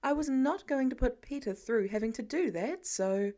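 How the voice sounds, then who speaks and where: A woman's voice: fairly high pitch, animated, moderate pace, warm tone, close to an everyday voice. A woman voicing an exasperated, teasing wife in a story, with a wry, firm manner.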